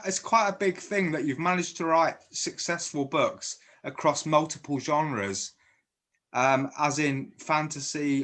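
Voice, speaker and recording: A man's voice: medium pitch, fairly bright tone. A man from Guernsey with a mixed Channel Islands and South Yorkshire accent, chatting casually as an interviewer and often restarting his sentences. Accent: British English mixing Channel Islands and South Yorkshire. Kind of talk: casual video chat.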